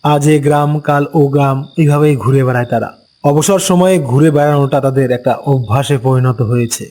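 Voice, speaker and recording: A man narrating an animated ghost story as a storyteller, unhurried and explanatory. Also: mid-range voice.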